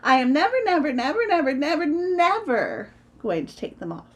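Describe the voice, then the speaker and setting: A high-pitched, excitable little girl, acted out by a woman reading a storybook. She exclaims with glee and stubbornly repeats herself, swooping widely in pitch over faint background noise. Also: normal speed, natural voice. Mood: stubborn, delighted. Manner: exclaiming, gleeful, emphatic repetition. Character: excitable, stubborn little girl who loves her socks. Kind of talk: children's storybook read-aloud.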